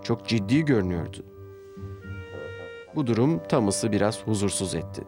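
A man's voice: fairly low pitch, animated, normal speed. A man narrating a scripted children's story as the storyteller. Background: background music.

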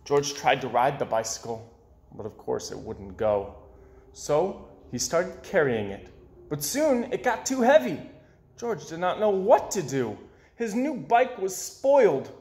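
A young man reading a children's story aloud in an expressive way, with dramatic pauses. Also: fairly high pitch. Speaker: storybook reader. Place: small indoor room with bookshelves. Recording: roomy, echoey indoor sound.

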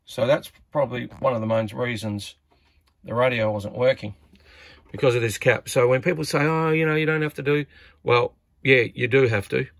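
A man's voice: medium pitch, fairly even intonation, normal speed, dry recording. A man giving casual, hands-on repair commentary in a plainspoken, hesitant way, as if thinking aloud while he works. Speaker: radio repair hobbyist presenter. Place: indoors at an electronics workbench.

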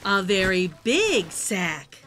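A middle-aged woman hosting a children's read-aloud, telling a rhyming storybook in an animated, sing-song way over music. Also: mid-range voice, slow pace. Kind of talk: expressive rhyming storybook narration. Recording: music behind the voice.

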